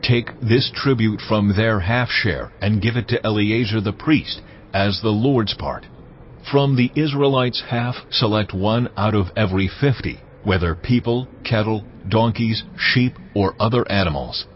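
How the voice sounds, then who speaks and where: An actor playing God in dramatized scripture, a solemn and authoritative male voice giving measured commands. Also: lowish voice, lightly acted. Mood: solemn, commanding. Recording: muffled, with noisy ambience underneath.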